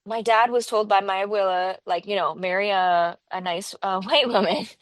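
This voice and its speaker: A woman podcast host from Northwest Florida talks in a loose, conversational way. She fills her sentences with "like" and slips into voices to quote people. Her voice sits mid-pitch and is recorded dry and close. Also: moderate pace, no room sound. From Northwest Florida, USA. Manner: animated storyteller, heavy use of "like", acts out quotes. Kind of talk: casual conversational interview.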